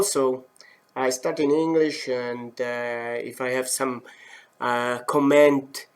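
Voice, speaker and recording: A man from the German-speaking part of Switzerland talks in a Swiss German accent, slowly and haltingly, working in English as a non-native speaker. He pauses on fillers and corrects himself as he goes. He sounds middle-aged and hosts a live wood turning demo from his workshop. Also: mid-range voice. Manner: hesitant, many fillers and self-corrections. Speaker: professional wood turner. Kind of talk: live-stream demo talk.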